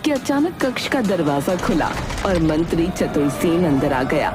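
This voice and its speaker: A woman's voice: fairly low pitch, sing-song, unhurried. A woman narrating a scripted dramatic folk tale as a storyteller. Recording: music under the narration.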